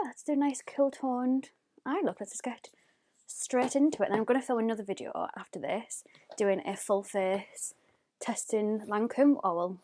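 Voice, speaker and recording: A woman, chatting through a makeup tutorial at home, often dropping to a hushed near-whisper. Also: medium pitch. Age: 20s. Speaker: beauty YouTuber. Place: home, indoors. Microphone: close mic.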